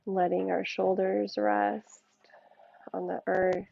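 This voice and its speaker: A woman yoga teacher leading a guided meditation in a soothing, unhurried way, with gentle pauses between short phrases. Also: mid-range voice, flat delivery, vocal fry, no echo, muffled recording.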